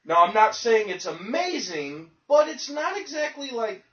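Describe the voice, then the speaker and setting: A man's voice: very high voice, big pitch swings, measured pace, a bit bright, far from the mic. A man co-hosting a casual chat show, rambling with lots of "like" as he argues his point. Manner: rambling, filler-heavy, defensive. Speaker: podcast co-host. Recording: dull, echoey sound from off the mic.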